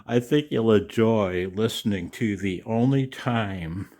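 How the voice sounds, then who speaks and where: Gravelly, slow-talking older man. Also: on the low side, darkish tone. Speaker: amateur radio operator and video host. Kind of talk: casual, rambling talk to viewers. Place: outdoors in the cold.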